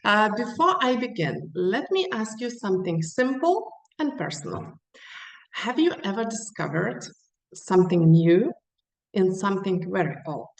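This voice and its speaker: A woman with a Lithuanian accent gives a prepared webinar presentation, speaking in an unhurried storytelling way. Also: mid-range voice, big pitch swings, gravelly. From central Lithuania. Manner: unhurried, storytelling with rhetorical questions. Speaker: small hospitality business owner, guest speaker. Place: online webinar, echoey room. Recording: roomy echo, distant microphone.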